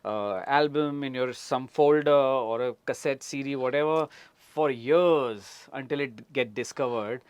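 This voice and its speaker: A man's voice: medium pitch, measured pace, clear, resonant tone, dry recording. A man, chatting casually as a radio interviewer. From India.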